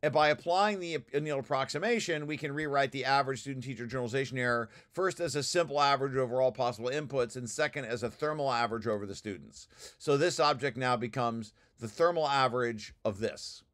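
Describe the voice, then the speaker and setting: A man's voice: medium pitch, fairly bright tone. A man giving an informal technical walkthrough, explaining as he reads and often stopping to correct himself. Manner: halting, self-correcting, explanatory. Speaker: presenter reading through own technical paper.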